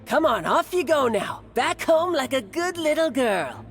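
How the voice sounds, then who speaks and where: A woman's voice: sits high, sing-song, a little slow, faint music behind. A woman voicing a cocky rogue thief in a video game, mocking and smug.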